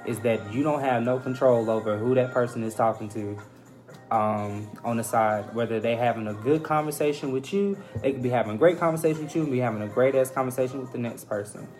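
A young man with an American accent talks in an average-pitched voice over faint music. He is reflective and a little halting, feeling for his words in relaxed podcast conversation. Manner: halting, thoughtful, searching for words. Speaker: podcast guest. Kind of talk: casual podcast chat.

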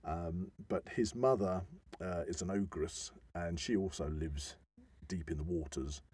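A man hosting an informal discussion, explaining with halting enthusiasm and emphatic stresses. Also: sits low, a little mellow, slightly rough, no room sound. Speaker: podcast host.

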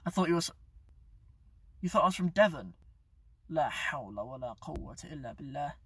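Guy, young adult, rambling live-stream host, fairly high voice, dry car recording. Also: animated, fast talker, a bit bright, breathy edge, no room sound, recorded from a distance. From England. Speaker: YouTube channel host. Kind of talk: casual live-stream chat. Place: inside his parked car.

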